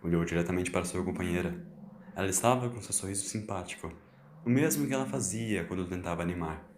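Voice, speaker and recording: A man narrating an audio drama in a solemn, measured way. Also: low-pitched. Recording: roomy echo, faint hiss.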